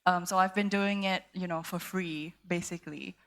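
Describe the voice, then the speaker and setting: A woman's voice: lowish voice, fairly even intonation, normal speed. A young woman from Thailand, a literary agent speaking on a festival panel stage, casual and full of fillers. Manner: casual, many fillers like "um" and "you know", laughs lightly. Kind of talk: panel discussion.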